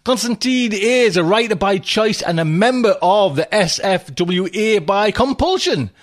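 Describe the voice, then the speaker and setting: Middle-aged male podcast host, high lively voice, loose casual rambling delivery. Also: unhurried. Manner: rambling, chatty, laughs often, swears. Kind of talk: casual chat.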